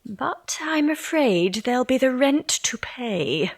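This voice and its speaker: Slow, intense romantic young lover. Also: female voice, high voice, lively intonation, full character voice. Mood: tender, hurried. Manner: declarative, romantic, theatrical. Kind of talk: poetic audio drama acting.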